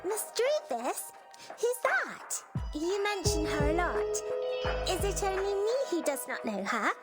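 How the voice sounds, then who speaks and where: A woman's voice: very high-pitched, very animated, a little slow, heavily acted. A woman playing an eager, curious, childlike girl in a video game, with a bubbly, innocent manner. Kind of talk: scripted video game voice acting. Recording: loud background music.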